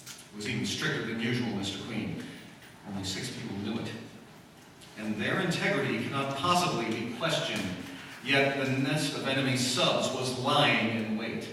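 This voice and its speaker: A man's voice: mid-range voice, bright, forceful tone, natural voice. A man playing a stern government official. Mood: grave. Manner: formal, grave, measured. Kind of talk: live old-time radio drama reading. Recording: echoey hall, mic far off, faint noise.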